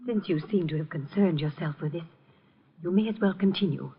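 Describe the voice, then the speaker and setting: A woman's voice: on the low side, fairly quick, noisy background, close to an everyday voice. A woman playing a guarded, haughty suspect in a 1950s radio drama, clipped, controlled and challenging. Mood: haughty, daring. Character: cool, haughty, guarded woman under suspicion. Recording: muffled vintage 1950 radio recording.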